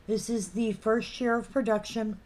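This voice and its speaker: Lady co-host on a live stream, voice going hoarse, slightly high, dry teasing, reads off item numbers. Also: flat delivery, moderate pace, a crowd in the background, rough recording. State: losing her voice. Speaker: co-host of a live collectibles show.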